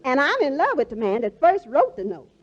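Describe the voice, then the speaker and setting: High-voiced sentimental radio wife. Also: female voice, huge pitch swings, brisk. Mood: fond. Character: sentimental, earnest middle-aged wife in radio comedy. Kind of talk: 1940s radio comedy acting. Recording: muffled vintage 1940s radio broadcast.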